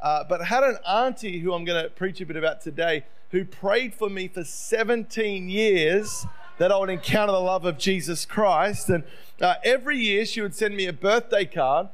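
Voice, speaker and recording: A man's voice: sits high, normal speed. A middle-aged Australian man preaching as a visiting speaker, telling his personal story in a conversational, self-deprecating way. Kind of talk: sermon, personal testimony.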